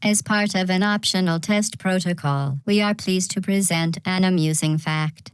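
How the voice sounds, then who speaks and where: Crystal-clear, coldly polite robotic AI. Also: female voice, fairly low pitch, no echo, poor audio. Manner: deadpan, measured, falsely courteous. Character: polite, coldly menacing female artificial intelligence running deadly tests. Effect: synthetic robot voice processing. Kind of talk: scripted video game announcements.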